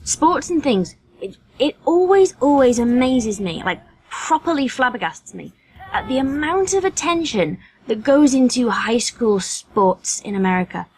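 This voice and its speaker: A woman's voice: on the high side, expressive, a little slow, a little mellow, rough recording. A British woman in her thirties talking casually as a podcast guest, explaining things in an articulate, wry way. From United Kingdom. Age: 30s. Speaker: guest, voice actress and high school teacher.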